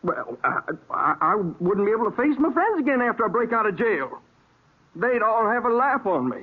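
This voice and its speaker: An actor playing a vain, sly gunslinger outlaw in a 1950s radio western, drawling and boasting in an American Western accent. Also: male voice, very high voice, very animated, moderate pace, full character voice. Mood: smug. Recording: tinny, band-limited old radio recording.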